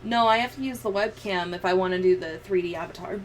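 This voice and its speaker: A woman with a fairly low voice talks casually on a livestream. She rambles and thinks out loud, and there is faint background noise behind her. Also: fast talker, low-quality recording.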